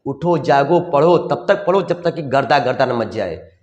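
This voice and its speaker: Hoarse male teacher in echoey room. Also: medium pitch, moderate pace, highs cut off. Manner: energetic, repeats tag questions, folksy coaching. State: slightly hoarse. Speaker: physics teacher. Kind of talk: exam revision lesson. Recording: roomy echo, long reverb.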